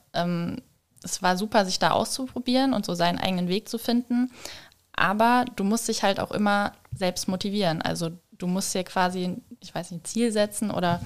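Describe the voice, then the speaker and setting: A woman in a relaxed interview conversation, speaking at a middle pitch. She answers as a guest, a little tentative and modest about herself. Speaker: guest, communication designer and illustrator. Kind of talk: casual interview chat.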